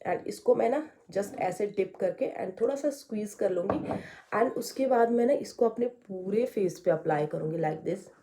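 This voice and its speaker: A young woman from India, hoarse with a heavy voice from a sore throat, chatting her way step by step through a skincare routine at home. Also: mid-range voice, moderate pace, noisy background. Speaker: beauty vlogger. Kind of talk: casual skincare tutorial chat. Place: indoors at home.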